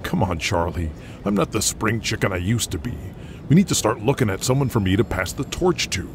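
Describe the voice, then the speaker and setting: A man's voice: on the low side, lively intonation, brisk. A man playing an aging villain pro wrestler, a persuasive veteran with a conversational manner, in an audio drama. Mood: weary pleading. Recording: noisy urban ambience behind the voice.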